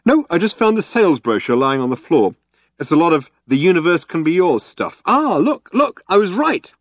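An eager, chatty man sounds pleased with himself, as a narrator puts him on a little higher. He talks quickly and keenly. The sound is dry. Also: big pitch swings, dry recording. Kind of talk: audiobook character voice.

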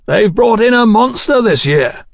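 A man's voice: fairly high pitch, expressive, moderate pace, gravelly, no echo, clean studio sound, voice pushed up. A man voicing a keen, proud British engineer in an audiobook, earnest, technical and chummy. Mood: loud, scornful. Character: keen, proud male British engineer explaining his machines.

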